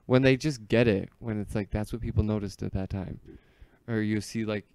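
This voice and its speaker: A man's voice: lowish voice, steady tone, normal speed, darkish tone, no echo. An American man telling stories in casual, comedic podcast chat, joking and peppering his talk with "like".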